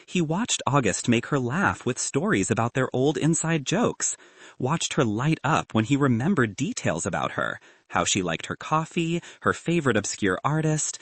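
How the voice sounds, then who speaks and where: A man narrates a scripted story in a mid-range voice. His intonation is lively and his tone a little husky. The recording is dry and close. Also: medium pitch, moderate pace, dry recording.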